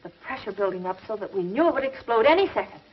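Earnest high-voiced 1950s space heroine. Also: female voice, lively intonation, fast talker, slightly rough. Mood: tense, curious. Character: earnest, alert young woman scientist in space adventure. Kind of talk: 1950s TV serial acting. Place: studio laboratory set. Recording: dull, muffled 1950s TV soundtrack.

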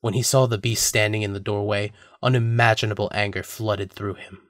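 A man's voice: on the low side, steady tone, a little fast, no room sound, clean studio sound. A man narrating a scripted audio drama as a storyteller.

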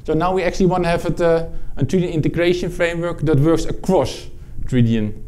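A man from the Netherlands with a Dutch accent, presenting a technical product talk at a conference, halting, with many fillers and restarts. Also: on the high side, warm tone. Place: conference room with audience.

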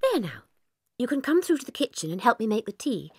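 Kindly young English schoolteacher, high-ish and animated, gentle, for children's audio drama. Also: female voice, fairly quick, breathy edge, faint music behind, heavily acted. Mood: kindly, matter-of-fact.

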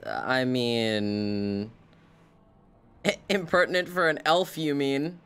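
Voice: mid-range voice, steady tone, takes it slowly, fairly bright tone, smooth. A game streamer giving casual, playful live reactions, chatting and muttering to themselves while reading game lines aloud.

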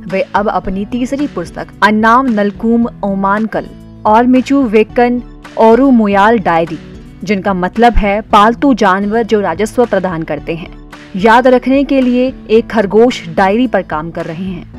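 Female news narrator, steady formal read, soft music bed. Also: mid-range voice, unhurried. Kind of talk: scripted news voice-over.

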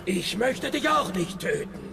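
Stern commanding chieftain, gravelly, raised pitch, dramatic radio drama delivery, proud, imperious. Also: male voice, very animated, unhurried, noisy background, full character voice. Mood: stern, honourable. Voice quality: gravelly, creaky.

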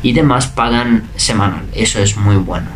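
Italian man speaking relaxed second-language Spanish. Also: on the low side, moderate pace, noisy background. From Italy. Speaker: interviewee, waiter. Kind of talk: casual interview answers. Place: bedroom indoors.

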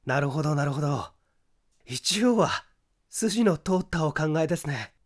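A man's voice: on the high side, expressive, unhurried, pitched below the performer's own voice. A man voicing a cornered young man in a mystery audiobook, feigning composure with formal, theatrical defiance. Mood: strained composure. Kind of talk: dramatic audiobook character acting.

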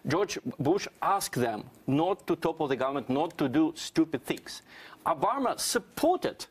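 A man debates politics in a studio. His voice is fairly high and husky, and he argues earnestly with lively intonation. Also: a little slow. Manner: earnest, argumentative. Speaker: panelist, political commentator. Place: TV studio at a round desk.

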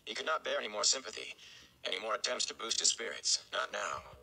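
A man's voice: on the low side, lively intonation, fairly quick, creaky, bright, forceful tone, clear, resonant tone, low-quality recording. A man, narrating a story from a script in a dramatic style. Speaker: audiobook-style reader. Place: small indoor room.

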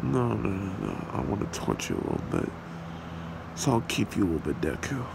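A man's voice: low voice, a little fast, exaggerated voice. A man voicing a possessive, cruel demon husband with a menacing, taunting manner in an ASMR roleplay. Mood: sinister, taunting. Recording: clearly noisy home recording, steady hum.

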